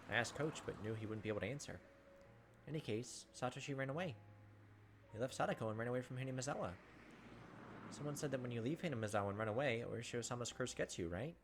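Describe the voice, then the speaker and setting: A man's voice: mid-range voice, quick pace, slight background noise. A man reading visual novel text aloud in a theatrical, emotive way as a gaming video host. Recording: background music under the voice. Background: game music.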